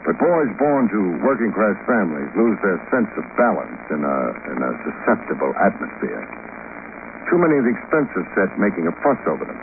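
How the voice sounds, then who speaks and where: Skeptical old radio gumshoe, lowish voice, wry and dry, scratchy narrow-sounding 50s recording. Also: male voice, sing-song, fairly quick. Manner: dry, world-weary, probing. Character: cool, skeptical male private investigator, hard-boiled and wry. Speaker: lead actor and narrator of a radio detective drama. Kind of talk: 1950s radio detective acting, first-person narration.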